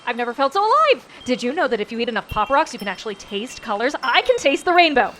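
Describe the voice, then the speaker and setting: A woman playing a sugar-crazed young space crew member in an audio sitcom, gushing breathlessly and excitedly. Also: on the high side, fast talker, background noise. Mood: manic glee. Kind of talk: sci-fi audio drama sitcom acting.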